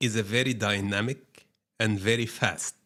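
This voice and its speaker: A man from Egypt, speaking as a guest in a business interview. He talks in measured phrases and repeats words while he searches for what to say. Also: fairly low pitch, steady tone, sharp tone. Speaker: guest, brokerage managing director.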